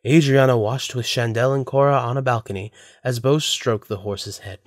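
A man's voice: medium pitch, fairly even intonation, moderate pace, no echo, studio quality. A man narrating a scripted audio drama as its storyteller.

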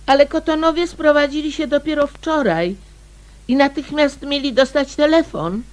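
An actress playing a polite woman newly moved in, in a radio drama, unhurried and often repeating words as if thinking aloud. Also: sits high, close to an everyday voice. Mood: puzzled, polite.

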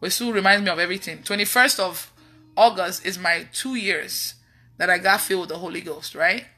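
A woman in her thirties with a Nigerian accent, preaching casually online in an animated, emphatic way and repeating phrases. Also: on the low side, a little fast, faint music behind. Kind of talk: casual testimony-style preaching on a livestream. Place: indoors in a room at home.

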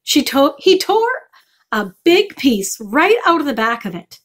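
Woman as storybook farm aunt, indignant, exclaiming, raised high voice. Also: very animated, a little slow.

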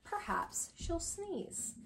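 Woman in her 30s doing a kids read aloud, high pitched, really animated and sing-songy. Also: vocal fry, poor audio. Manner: expressive, sing-song, with drawn-out pauses for young listeners. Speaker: read-aloud host, tutor. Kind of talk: children's picture book read-aloud. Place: small indoor room with bookshelves.

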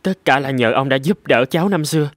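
A man's voice: mid-range voice, fairly quick, a bit bright, dry recording, clean studio sound, close to an everyday voice. A man voicing a humble young violinist in a cartoon, with a polite and earnest manner. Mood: grateful. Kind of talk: animated cartoon dialogue.

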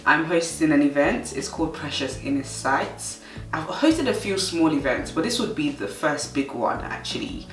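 A young woman with a Nigerian accent, talking earnestly and softly in a sit-down vlog about her faith and her work. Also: sits low, animated, normal speed, far from the mic, music in the background. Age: young adult. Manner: earnest, soft-spoken, faith-filled. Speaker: vlogger. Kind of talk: reflective sit-down vlog talk. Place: living room, indoors. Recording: roomy sound, mic set back.

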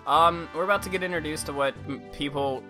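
Rambling young man with a slight lisp. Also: fairly quick. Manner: rambling, swearing, bursts into silly high put-on voices. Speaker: let's play commentator. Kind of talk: casual gameplay commentary. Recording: game music loud behind voice.

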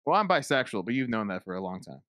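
A man's voice: on the low side, very animated, fast, a bit bright, no room sound, clean studio sound. A man chatting casually as a guest on a livestream. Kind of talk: casual chat.

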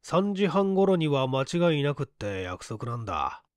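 A man playing a breezy, easygoing young gentleman in conversational dialogue from an audiobook mystery. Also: on the high side, very animated, measured pace, no room sound, clean studio sound. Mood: breezy, casual.

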